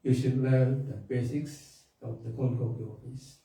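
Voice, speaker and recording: Slow dark-voiced man. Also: mid-range voice, steady tone. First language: Japanese. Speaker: minister. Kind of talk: sermon. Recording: echoey room from a distant mic.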